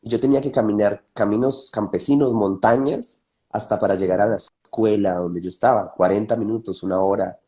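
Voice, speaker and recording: A man's voice: lowish voice, fairly even intonation, a little fast, warm tone. A man from Colombia with a Colombian accent, a pastor speaking thoughtfully in a panel discussion and sharing his testimony.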